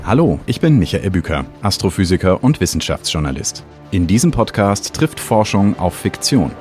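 A man's voice: sits low, sing-song, moderate pace, darkish tone, faint music behind. A man hosting a science podcast, presenting a scripted introduction addressed directly to listeners. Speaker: podcast host, astrophysicist and science journalist.

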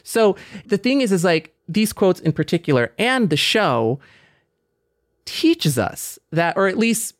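A man co-hosting a podcast, speaking in a thoughtful, conversational way with a measured, earnest and optimistic manner. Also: high-pitched, big pitch swings, no room sound, studio quality. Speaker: podcast co-host.

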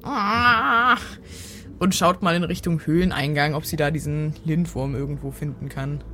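A woman playing a tabletop role-playing game, mixing improvised role play with casual chat in hesitant, drawn-out phrases. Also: deep voice, pushed and bright, a little hiss or hum.

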